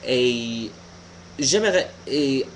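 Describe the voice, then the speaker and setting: A young American man, a native English speaker, giving an informal opinion monologue haltingly, searching for words. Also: medium pitch, animated, slight background noise. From United States. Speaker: video blogger.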